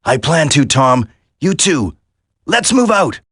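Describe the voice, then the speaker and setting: Earnest soldier, expressive delivery. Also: male voice, on the high side, slow pace, slightly rough, dry recording, studio quality, full character voice. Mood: calm, dry. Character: steady young male special-forces soldier, earnest and determined. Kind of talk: dramatic video game voice acting.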